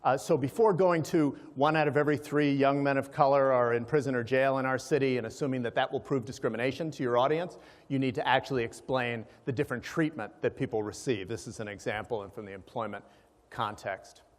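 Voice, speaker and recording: A man's voice: medium pitch, steady tone, fairly quick. Man presenting in a reverberant hall. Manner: explanatory, checks in with listeners. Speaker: conference speaker, organization president. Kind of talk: presentation to a live audience. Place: large hall, live event.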